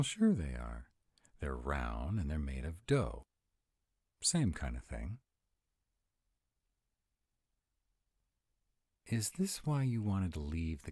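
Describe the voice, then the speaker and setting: A man's voice: very deep voice, darkish tone, slightly rough, no echo, clean studio sound, full character voice. A man voicing a grumpy, rule-bound were-dragon boyfriend in a roleplay audio, speaking with fussy, exasperated emphasis. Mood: reluctant, skeptical.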